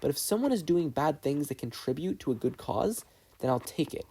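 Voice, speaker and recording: A man's voice: on the high side, very animated, a little fast, dark tone, husky, heavily acted. A man voicing an earnest, idealistic teenage boy student in an audiobook, arguing emphatically. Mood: impassioned, persuasive. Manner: emphatic, argumentative.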